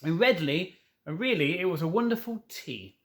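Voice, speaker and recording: A man's voice: sits high, animated, moderate pace. A man reading a children's novel aloud, stumbling now and then and correcting himself, with informal asides. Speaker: storybook reader.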